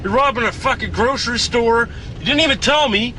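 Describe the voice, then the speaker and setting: An actor playing a hot-headed, foul-mouthed trailer park man who yells and swears constantly. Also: mid-range voice, fairly quick, a little hiss or hum, lightly acted. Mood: furious. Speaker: trailer park resident in a mockumentary. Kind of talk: mockumentary acting.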